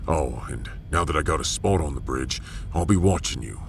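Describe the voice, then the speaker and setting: A man playing a gruff, proud middle-aged blacksmith with a blunt, weighty manner in a sci-fi audio drama. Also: extremely low pitch, lively intonation, normal speed, background noise. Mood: grudging respect.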